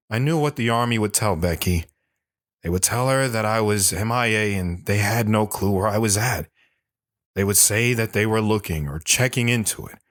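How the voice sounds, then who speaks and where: An actor playing a bitter, haunted older army sergeant telling his story in a brooding, halting way for an audio drama. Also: lowish voice, a bit bright, dry recording, studio quality, natural voice. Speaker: dramatic monologue narrator.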